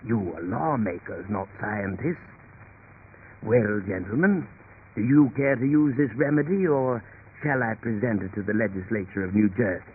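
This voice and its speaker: Pompous quack medicine peddler, played by a man, lowish voice, theatrical pitch, tinny vintage radio. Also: normal speed, natural voice. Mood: evasive, wheedling. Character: smooth-talking 19th-century quack peddling a miracle cure. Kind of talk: 1930s radio acting. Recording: tinny, noisy 1930s radio recording.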